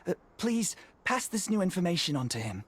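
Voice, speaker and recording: An actor playing an earnest, courteous resistance investigator in a video game, polite and a little hesitant when worried. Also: male voice, high-pitched, very animated, fairly quick, slightly rough, full character voice. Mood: eager, grateful. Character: earnest, courteous male resistance investigator, anxious about a missing child. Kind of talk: video game character dialogue.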